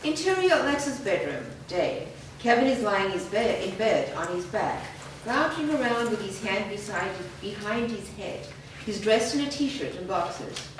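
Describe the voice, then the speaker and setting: A woman's voice: mid-range voice, big pitch swings, normal speed. Woman reading stage directions, echoey. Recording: echoey room, far mic, hiss.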